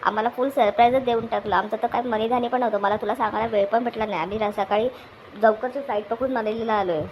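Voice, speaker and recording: Woman doing an eager, high-voiced cartoon boy. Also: fairly even intonation, quick pace, a little mellow, close to an everyday voice, rough recording. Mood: chatty. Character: excitable, playful young son of the family. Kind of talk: cartoon family comedy dialogue. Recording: clear background noise, ambience.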